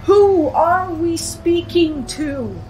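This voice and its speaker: Husky, slow, theatrical séance girl. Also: extremely high pitch, animated, darkish tone, faint music behind, full character voice. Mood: solemn, theatrical. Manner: theatrical, solemn. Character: theatrical teenage girl leading a séance, self-assured.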